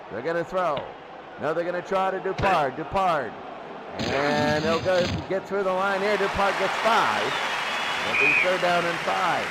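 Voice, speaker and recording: A high-voiced male sports announcer calls American football play by play. He speaks in short, clipped bursts and repeats key calls. A loud crowd and heavy noise surround his voice. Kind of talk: excited live American football radio commentary.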